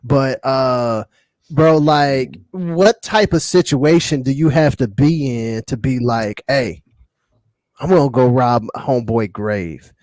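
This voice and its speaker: A man's voice: mid-range voice, slow, a little mellow, dry recording. An American man co-hosting a casual hip-hop podcast, talking in slang and repeating phrases for emphasis. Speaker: podcast co-host.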